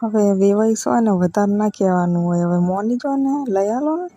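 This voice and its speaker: A woman's voice: medium pitch, normal speed, warm tone, no room sound, dull audio, lightly acted. A woman with a Gujarati accent playing a demanding village in-law who wheedles insistently in a casual phone conversation.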